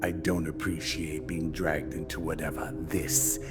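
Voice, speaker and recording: A man playing a stoic starship captain in a radio drama, speaking in a measured, formal, firm way. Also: extremely low pitch, level tone. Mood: cool defiance. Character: stoic, hard-bargaining male starship captain. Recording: loud music behind the voice.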